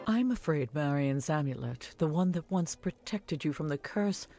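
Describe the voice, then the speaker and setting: An actress playing an older village wise woman in a video game, caring and earnest, giving measured instructions. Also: deep voice, lively intonation, mellow. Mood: earnest, urgent. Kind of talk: scripted video game dialogue. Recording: music behind the voice.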